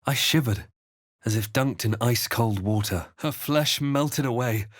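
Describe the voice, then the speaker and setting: An actor playing a brooding, wry male adventurer in a fantasy video game, speaking in a measured, dramatic and understated way. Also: medium pitch, dry recording, clean studio sound, lightly acted. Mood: grave, haunted. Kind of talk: video game character lines and narration.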